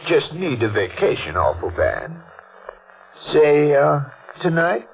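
An actor playing a sly, folksy small-town hotel clerk turned blackmailer in a 1940s radio mystery, with a rural American accent and feigned innocence. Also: male voice, a little high, very animated, slow, vocal fry, slightly rough, exaggerated voice. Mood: sly, insinuating. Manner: drawling folksy speech, sly feigned innocence, chuckling insinuation. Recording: dull, noisy old radio recording.

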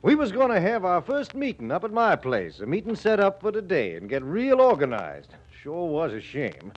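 A genial rustic businessman. His voice rides high and swings widely, full of homespun courtesy. It comes through a dull 1940s radio recording. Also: wildly swooping pitch, normal speed, heavily acted. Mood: sympathetic, folksy. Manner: folksy drawling courtesy, homespun grammar. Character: folksy rustic Western businessman, genial and plainspoken.

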